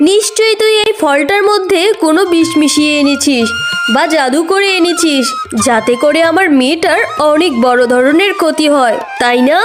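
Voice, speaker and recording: A woman's voice: sits high, moderate pace, lightly acted. A woman voicing a harsh, suspicious fox aunt who scolds and accuses in a children's cartoon. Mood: scolding, suspicious. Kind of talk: Bangla children's cartoon dubbing. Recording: loud music behind.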